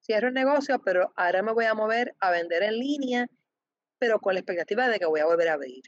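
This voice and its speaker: A woman representing a foundation, answering interview questions with many pauses, fillers and restarts. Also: medium pitch, lively intonation, no room sound. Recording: dull lo-fi dry sound.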